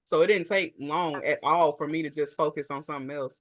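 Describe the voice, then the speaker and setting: Deep-voiced woman, podcast co-host, blunt and profane, dry close sound. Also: fairly even intonation, a little fast, no room sound. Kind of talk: casual group podcast chat.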